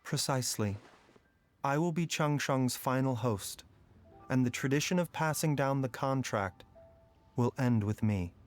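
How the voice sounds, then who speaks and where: A man playing a calm, reflective doctor. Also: mid-range voice, a little slow, faint music behind, lightly acted. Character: composed male physician, calm and philosophical. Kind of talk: video game voice acting.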